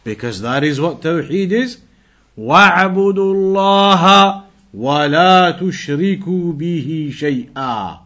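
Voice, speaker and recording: A man's voice: sits high, fairly bright tone. A man giving a religious lesson in a didactic, emphatic way, repeating key phrases and reciting quotations. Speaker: Islamic teacher.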